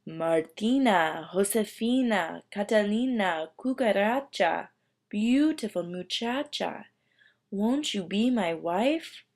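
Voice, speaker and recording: A woman's voice: mid-range voice, animated, slow. A woman voicing a smug, smelly male pig suitor in a storybook, relishing each word. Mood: wooing.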